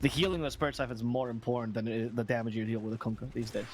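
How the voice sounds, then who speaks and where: A man co-casting a live esports match, laughing often and breaking into excited outbursts. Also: mid-range voice, very fast, slight background noise. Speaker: esports co-caster. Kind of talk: live game commentary.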